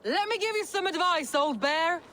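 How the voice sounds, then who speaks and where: An actress playing a proud, determined Viking jarl in a video game, with a commanding, declamatory delivery. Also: female voice, very high voice, lively intonation, moderate pace, a bit bright, slight background noise. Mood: defiant. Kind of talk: video game cutscene acting.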